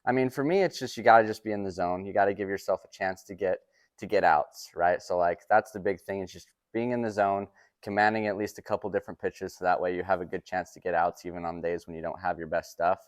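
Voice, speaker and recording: A man's voice: low-pitched, fairly even intonation, quick pace, dry recording. A man in his twenties from Utah, a baseball coach answering interview questions casually. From Utah, United States.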